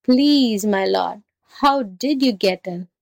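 A woman's voice: medium pitch, slow, vocal fry, no echo, clean studio sound. A woman voicing a sly storybook jackal who acts dim, muddled and plaintively confused. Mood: flustered, timid.

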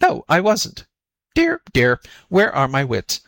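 A man's voice: on the high side, huge pitch swings, slow, creaky, a bit bright, dry recording, clean studio sound, pitched above the performer's own voice, heavily acted. A sly storybook jackal, put on by a man, with a raised, swooping voice. It plays the fretful, muddled simpleton, exclaiming in flustered confusion. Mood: flustered, feigned distress. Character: sly jackal feigning muddled, whimpering stupidity. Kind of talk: storybook character voice.

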